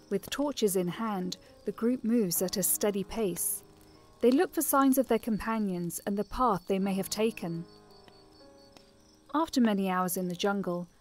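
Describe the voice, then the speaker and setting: A woman narrating a fantasy audio drama as a scripted story, in a measured, descriptive manner. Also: medium pitch, a little mellow, close to an everyday voice. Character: female narrator of a fantasy adventure.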